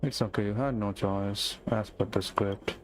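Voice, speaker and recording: Very low-voiced woman streaming a game. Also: fairly even intonation, a little slow, noisy background. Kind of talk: casual live gameplay reactions.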